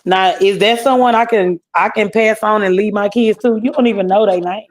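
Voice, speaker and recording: A woman's voice: medium pitch, fairly even intonation, a little fast, dry recording. Blunt Houston woman on a call. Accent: Houston, Texas. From Texas. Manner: blunt, gossipy, Southern slang. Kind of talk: casual chat. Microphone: remote call.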